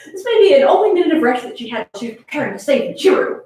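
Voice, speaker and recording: A woman's voice: sits high, big pitch swings, racing, dark tone. A woman reading a comedic fan fiction story aloud in a theatrical, amused way. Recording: very echoey room, far from mic.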